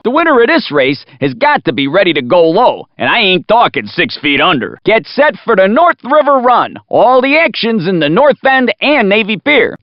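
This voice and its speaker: A brash, excitable American race announcer for a video game. His high male voice leaps around with folksy, wisecracking showmanship. The audio is dry and slightly dull, like older game sound. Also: no echo. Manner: folksy, punchy, playful showman delivery.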